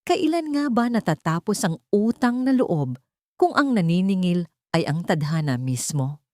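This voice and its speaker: A woman's voice: on the low side, big pitch swings, unhurried, darkish tone, no echo, clean studio sound. A woman reading a scripted romantic drama audiobook as its narrator, in a dramatic storytelling style.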